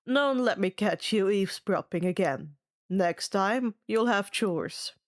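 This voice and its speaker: A gruff male camp director, played by a woman reading a story, curt and commanding. He sounds irritable and dismissive, giving orders as storybook dialogue. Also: medium pitch, animated, slow. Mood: stern. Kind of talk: audiobook-style character dialogue.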